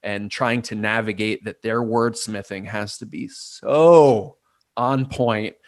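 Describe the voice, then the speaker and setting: Reflective New man, low voice. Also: slow, dry recording, studio quality. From Port Chester, New York. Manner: reflective, thinks aloud from many angles. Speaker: guest, artist and designer. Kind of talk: casual interview conversation.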